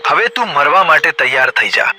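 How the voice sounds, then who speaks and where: Man acting a blustering, angry lion king, swooping pitch. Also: lively intonation, normal speed, bright, forceful tone, a little hiss or hum. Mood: threatening. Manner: threatening, blustering. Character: angry, hungry, bullying male lion king of the jungle. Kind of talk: animated children's story voice acting.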